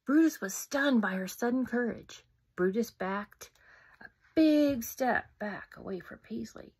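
A woman in her fifties reading a picture book aloud at home into a phone camera, animated, with whispered asides. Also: a little high, measured pace, breathy edge. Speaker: storybook reader. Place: home room indoors. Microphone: phone camera close up.

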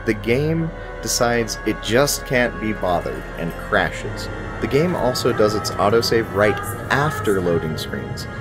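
Low-voiced man reviewing games over music. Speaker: video game reviewer. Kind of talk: scripted review commentary. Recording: music behind the voice.

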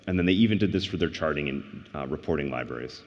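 A man in his thirties with a low voice gives a tech keynote from a big stage, earnest and explanatory, lifting his voice on key points. His headset mic carries a long hall reverb, and the livestream sound is a little dull. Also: lively intonation, quick pace, darkish tone. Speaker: conference keynote speaker. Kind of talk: tech conference keynote talk. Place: large conference stage.

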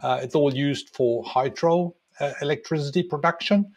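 A man's voice: a little high, moderate pace. Middle-aged male travel guide, casual explainer with frequent fillers, home webcam recording. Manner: relaxed, many ums and uhs, explaining. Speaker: tour guide and travel designer. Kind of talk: casual map walkthrough narration.

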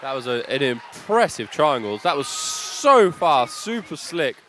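A British man giving live MMA commentary, excitable, reacting in quick bursts of praise. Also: medium pitch, soft background music. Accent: British English.

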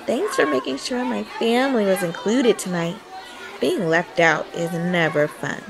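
A woman voicing a sensitive young schoolboy in a children's storybook, soft and hesitant. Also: mid-range voice, measured pace, crowd noise, close to an everyday voice, low-quality recording. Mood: gentle.

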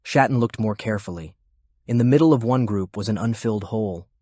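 Man, audiobook narrator, low voice, dry close sound, scripted war story. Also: brisk, mellow, dry recording.

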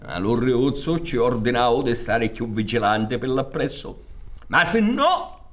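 A man's voice: medium pitch, very animated, measured pace, fairly bright tone. A man in his sixties with a Sicilian accent, telling a folk tale theatrically and expressively, with repetitions for emphasis. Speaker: storyteller narrator. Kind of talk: theatrical oral storytelling of folk tales. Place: theatre stage set up for radio recording. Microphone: large studio condenser on stand.

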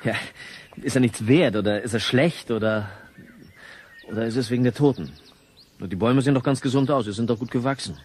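An actor playing a polite, inquisitive newspaper reporter, conversational and probing, in a radio drama. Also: male voice, medium pitch, a little fast, darkish tone, breathy edge, close to an everyday voice. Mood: puzzled, probing.

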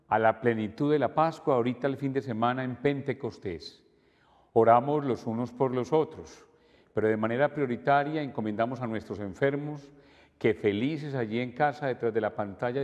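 An older man, a priest, celebrating Mass at a church altar in calm, measured liturgical prayer. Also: mid-range voice, dull audio. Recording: long church reverb.

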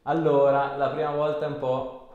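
A man's voice: medium pitch, level tone, moderate pace, darkish tone, roomy sound, highs cut off. A man, working as an osteopath and giving short, reassuring, casual instructions during a hands-on exam in a small treatment room. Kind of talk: casual hands-on exam talk, ASMR video.

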